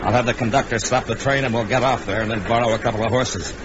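An actor playing a stern, shrewd Old West marshal, terse and commanding, with an American accent, in a radio Western. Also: male voice, medium pitch, steady tone, fast talker, lightly acted. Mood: wry, shrewd. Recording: muffled, noisy vintage radio recording.

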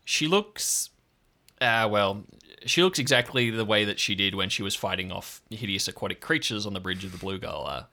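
Low, bright-voiced man narrating. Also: expressive, moderate pace, creaky. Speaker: game master. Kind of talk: improvised narration of an audio role-play.

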